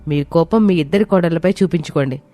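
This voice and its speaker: A woman's voice: deep voice, quick pace, dark tone, lightly acted. Woman acting whining, defiant young daughter-in-law. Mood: indignant. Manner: plaintive, argumentative. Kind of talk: dramatized moral story voice acting. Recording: music behind the voice.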